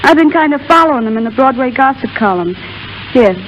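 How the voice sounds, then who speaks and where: A woman's voice: high-pitched, expressive, fairly quick, background noise. An actress playing a lovelorn young American showgirl in a 1930s radio drama, with plain, slangy, understated speech. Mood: downcast, resigned. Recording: dull 1930s radio broadcast with music behind.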